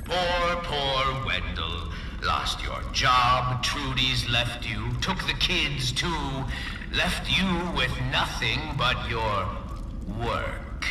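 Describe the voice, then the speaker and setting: Smug mad-scientist villain, slow deliberate delivery, theatrical, sneering, echoing distant sound, game cutscene. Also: male voice, medium pitch, expressive. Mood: mocking. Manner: pompous, gloating, sneering. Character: pompous, gloating male mad-scientist corporate villain. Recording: echoey hall, distant, music behind. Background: game music.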